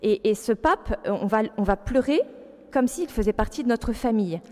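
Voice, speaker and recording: A woman in her forties speaks earnestly to an audience, moving between prepared reading and spontaneous asides. Her voice is slightly high and notably warm and mellow. A long reverb from the large hall trails her words. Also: expressive, moderate pace. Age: 40s. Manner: earnest, alternating read text with spontaneous asides. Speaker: speaker giving a testimony. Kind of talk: public personal testimony, part read aloud.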